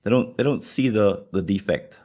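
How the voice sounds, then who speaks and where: A man's voice: lowish voice, normal speed, mellow. A man with a Jamaican accent co-hosting a podcast, talking casually and rambling, repeating words as he thinks. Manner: rambling, repeats words while thinking, acts out quoted people. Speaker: podcast co-host.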